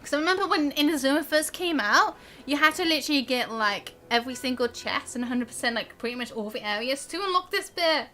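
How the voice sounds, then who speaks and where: High, lively young woman streaming games. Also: fast, hardly any pauses. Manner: giggly, rambling, chatty. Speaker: game streamer. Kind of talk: casual live-stream chat and game commentary. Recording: game music faintly behind.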